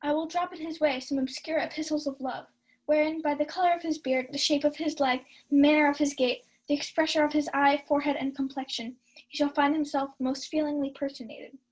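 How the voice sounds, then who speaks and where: A young woman playing a shrewd, mischievous household servant in a Shakespeare radio play, brisk and conspiratorial. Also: sits high, steady tone, lightly acted. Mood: scheming. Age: young adult.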